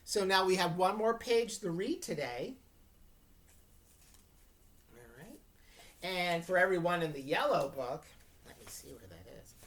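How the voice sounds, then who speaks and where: A woman teacher with a fairly low voice and a very unhurried pace. Her pitch moves about a lot as she guides students through a book. She explains and checks understanding with questions. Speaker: English teacher. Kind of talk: dramatic classroom read-aloud with questions to students.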